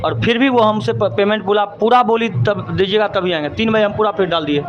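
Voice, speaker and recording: A man's voice: sits high, brisk, dull audio. A man with a Bihari accent giving indignant, emphatic interview answers and repeating his points. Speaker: stage show performer, interviewee.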